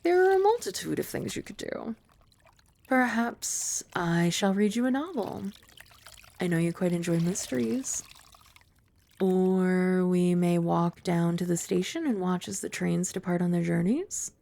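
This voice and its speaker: A young woman voicing a composed young maid who is also a childhood friend. She uses formal, polite speech with gentle, dry teasing, is soft-spoken, and sometimes drops to a whisper. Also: on the low side, lively intonation, measured pace, vocal fry. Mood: calm. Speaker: ASMR roleplay performer. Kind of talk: ASMR roleplay.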